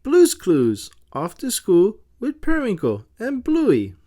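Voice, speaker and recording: Children's read-aloud narrator: expressive storyteller, very lively intonation. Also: mid-range voice, slow pace, soft background music. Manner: expressive, sing-song storytelling. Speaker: storybook reader for young children. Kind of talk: animated read-aloud of a children's picture book.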